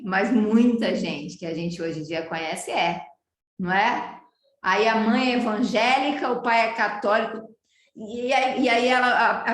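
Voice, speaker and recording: A Brazilian yoga teacher giving a live online lecture, animated and often putting questions to her students. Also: a little high. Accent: Brazilian Portuguese. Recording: roomy echo.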